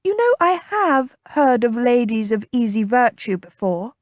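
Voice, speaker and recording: A woman's voice: on the high side, very animated, a little slow, no echo, studio quality, pitched above the performer's own voice. A woman voicing a chatty, impulsive young noblewoman in an audiobook, with a bubbly, coaxing, inquisitive manner. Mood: kindly, insistent. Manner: bubbly, coaxing, earnest, prying.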